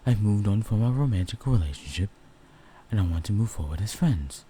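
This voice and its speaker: A man's voice: lowish voice, lively intonation, brisk, dark tone, slight background noise, close to an everyday voice. A man voicing a courteous, regal, ancient male host in an audio roleplay, speaking formally and graciously. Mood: calm, reassuring.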